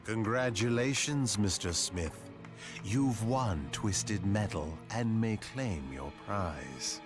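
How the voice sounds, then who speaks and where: Sinister game showman, low-pitched, smugly polite, unhurried, knowing, music behind. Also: expressive. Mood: sly, mocking. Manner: measured, mock-courteous, knowing. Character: sly, sinister male wish-granting showman, smugly polite. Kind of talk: game cutscene voice acting.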